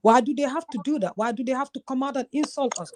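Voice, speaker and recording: A woman's voice: sits high, fast, slight background noise. A woman from Gambia, a YouTuber, speaking with passion in a casual group discussion and often quoting herself. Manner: passionate, emphatic storytelling, quotes herself often.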